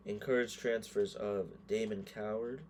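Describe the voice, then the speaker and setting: Male gaming creator, hoarse, deeper side, loose offhand thinking-aloud commentary. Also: steady tone, measured pace, darkish tone, slight background noise. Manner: thinks aloud, muttering verdicts on players. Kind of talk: casual gameplay commentary.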